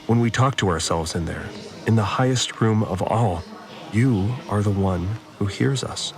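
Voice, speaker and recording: A male actor playing a troubled, obedient farmer confined on a spaceship, giving a halting, confessional audio diary in a science fiction drama. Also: on the low side. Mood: awed, halting. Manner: halting, repeats words, confessional. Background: music.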